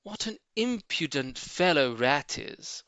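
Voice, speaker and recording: A man's voice: on the high side, lively intonation, slow pace, fairly bright tone, clear, resonant tone, no room sound, dull audio, pitched above the performer's own voice. Man as a spirited, confident fox leader. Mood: cheerful, spirited. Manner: spirited, urging. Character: dashing, confident, cheerful fox father and leader.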